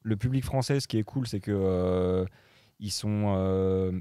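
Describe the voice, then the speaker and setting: A young man from Morocco chats as a guest on a talk show, pausing often with fillers and restarting his sentences. His voice sits a bit low and mellow, and the dry recording keeps every word close. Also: clean tone, no echo. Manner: hesitant, many fillers and restarts. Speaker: guest DJ and producer. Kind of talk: casual chat.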